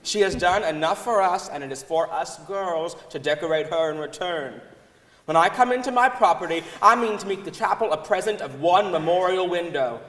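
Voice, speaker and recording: A man with a quite high voice gives a dramatic reading of old texts on stage. The sound is echoey, with the long reverb of a concert hall. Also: a bit bright. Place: large concert hall stage before an audience.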